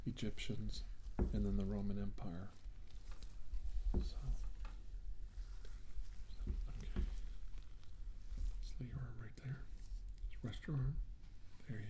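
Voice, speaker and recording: A man doing a soft-spoken ASMR role play as a practitioner, hushed and slipping in and out of whispers, giving slow, soothing instructions. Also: lowish voice, noisy background. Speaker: ASMR performer playing a practitioner treating a patient.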